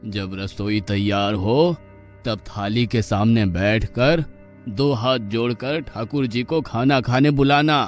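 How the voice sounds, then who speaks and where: A pious guru acted by a man from an animated moral tale. He speaks slowly, coaxing and instructing with patience that frays into mild exasperation. Soft music sits behind him. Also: mid-range voice, natural voice. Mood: exasperated, instructing. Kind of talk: animated moral story dialogue in Hindi.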